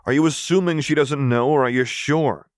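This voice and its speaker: A brash young woman enforcer from an audiobook tale, played by a man. The voice is lifted well above a man's usual talk and pushed louder. She is crass, sarcastic and slangy, with no patience for niceties. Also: mid-range voice, normal speed, dry recording, studio quality, voice pushed up, lightly acted. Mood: sardonic.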